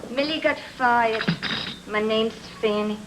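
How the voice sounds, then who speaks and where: A woman's voice: on the high side, takes it slowly. A woman playing a curt, sassy waitress who takes no nonsense, in a film noir radio drama. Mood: snippy, unimpressed. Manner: curt, snippy. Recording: noisy vintage radio recording.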